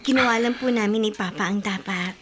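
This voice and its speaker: A woman's voice: mid-range voice, a little fast, a bit bright, slight background noise, natural voice. A woman playing a sweet, respectful teenage daughter in a Tagalog radio drama. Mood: affectionate.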